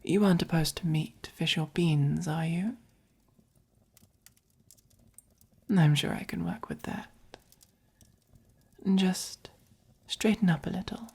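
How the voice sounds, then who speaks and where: A woman voicing a gentle, possessive, doting vampire in a soft-spoken ASMR roleplay, with an English accent and breathy, whispery, coaxing delivery. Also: on the low side, full character voice. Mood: doting, attentive. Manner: slow, coaxing, gently commanding. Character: gentle, possessive, doting dominant vampire keeping a human pet.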